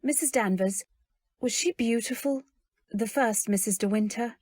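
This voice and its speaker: A woman's voice: on the high side, moderate pace, slightly rough, dry recording. Woman acting a timid young wife, close-miked. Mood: apologetic, earnest. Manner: hesitant, gentle, polite. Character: timid, earnest young new wife narrating her tale. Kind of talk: old-time radio drama, first-person narration and dialogue.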